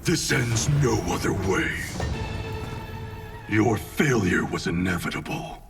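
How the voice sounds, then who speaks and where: Deep, cold ninja grandmaster. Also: male voice, lively intonation, slow, creaky, recorded from a distance, loud music behind the voice, exaggerated voice. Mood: cold contempt. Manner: curt, imperious, cold. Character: stern, proud, ambitious male ninja clan grandmaster. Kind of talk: video game cutscene acting.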